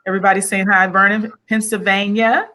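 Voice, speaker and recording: A woman's voice: mid-range voice, flat delivery, fairly quick, pushed and bright. A woman hosting a live online interview, upbeat, greeting viewers and guiding the conversation. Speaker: host.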